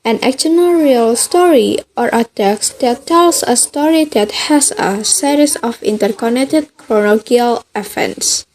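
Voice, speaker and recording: A young woman with an Indonesian accent presents on a student podcast. Her voice sits a bit high as she reads out educational material. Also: takes it slowly, few pauses, a little mellow, a little hiss or hum, rough recording.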